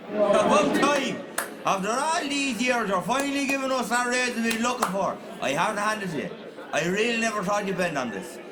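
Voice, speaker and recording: A man's voice: high voice, expressive, moderate pace. A man playing a hot-headed factory worker in a radio play, rowdy and emphatic. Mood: jubilant. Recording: loud crowd around the voice.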